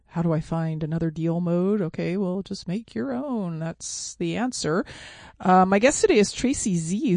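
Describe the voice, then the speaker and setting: A woman's voice: on the low side, vocal fry, darkish tone, dry recording, studio quality. A middle-aged woman hosting an interview podcast, chatty and upbeat, with frequent restarts. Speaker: podcast host.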